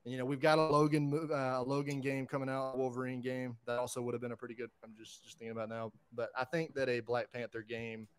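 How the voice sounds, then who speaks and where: Clear-voiced man in casual chat. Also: medium pitch, level tone, fast talker, hardly any pauses. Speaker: podcast panelist pitching draft picks. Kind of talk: casual enthusiastic pop-culture chat.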